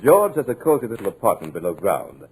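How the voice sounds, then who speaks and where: A cultured villain with a husky, low voice, his speech swooping between silky calm and sudden threat. His mocking manner stays measured and polite. He comes through an old radio play recording. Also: heavily acted, low-quality recording. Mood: menacing. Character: sinister, cultured male villain holding a writer captive. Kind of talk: 1950s radio drama acting.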